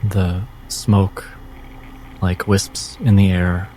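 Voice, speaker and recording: A man narrating as game master in an atmospheric, measured style with dramatic pauses. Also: low voice, level tone, warm tone, a little hiss or hum, low-quality recording. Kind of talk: slow atmospheric scripted-sounding narration.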